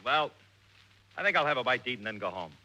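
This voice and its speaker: An American actor playing a grumbling, wisecracking lunchroom regular in a 1940s radio comedy. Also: male voice, medium pitch, big pitch swings, fast talker, sharp tone, soft background music. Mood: casual. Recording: very noisy old radio broadcast.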